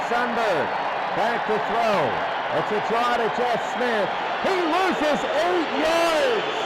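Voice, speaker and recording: A man's voice: high-pitched, background noise. A man doing live play-by-play football commentary in short, clipped, repeated phrases. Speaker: play-by-play sports announcer.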